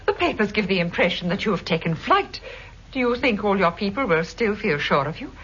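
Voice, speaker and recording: An actress playing a proud, wounded mistress of a master criminal, intense and formal, in 1960s radio drama style. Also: female voice, mid-range voice, sing-song, fairly quick, fairly bright tone. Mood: challenging. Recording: muffled vintage radio recording, faint noise.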